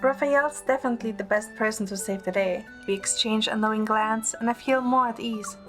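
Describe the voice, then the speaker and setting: Woman narrating a romantic audio drama, higher-pitched. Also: fairly even intonation, brisk, a bit bright, faint music behind. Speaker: audio drama narrator, also playing the young producer heroine. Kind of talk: first-person scripted narration and dialogue.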